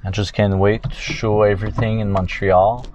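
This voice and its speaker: A man from Quebec with a French Canadian accent, narrating casually while he drives at night, pausing often with fillers. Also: fairly low pitch, little pitch movement, a little mellow, lo-fi sound, a little hiss or hum. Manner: halting, many fillers and pauses. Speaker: vlogger. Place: inside a car at night.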